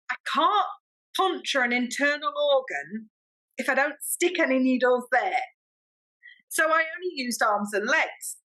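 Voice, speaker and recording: High-pitched, bright-voiced chatty English woman. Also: low-quality recording. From Britain. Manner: chatty, expressive, acts out quoted dialogue. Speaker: acupuncturist podcast guest. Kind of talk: animated storytelling in casual interview.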